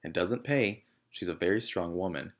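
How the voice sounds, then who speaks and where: A man voicing a gentle but firm female schoolteacher from a storybook, polite, measured and earnest. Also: low voice, natural voice. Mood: cautioning.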